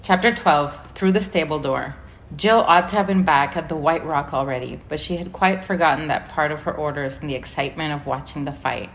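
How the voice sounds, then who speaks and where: A woman reading a children's book chapter aloud as a volunteer reader, in a measured, clear way. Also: low-pitched, slight background noise. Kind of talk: storybook read-aloud narration.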